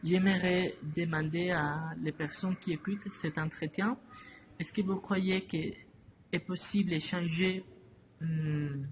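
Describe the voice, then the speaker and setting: A man answers an interviewer slowly, stopping often to restart his sentences. His voice sits a little high. Steady noise runs behind the recording. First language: Spanish. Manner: halting, many restarts and fillers. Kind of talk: slow, hesitant interview answers. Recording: noisy ambience behind voice.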